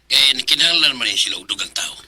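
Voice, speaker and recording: An actor playing a kindly, avuncular village pastor in a Bisaya radio drama, with a husky voice and a Cebuano Filipino accent. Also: male voice, mid-range voice, expressive, quick pace, sharp tone, slight background noise, heavily acted, poor audio. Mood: advising.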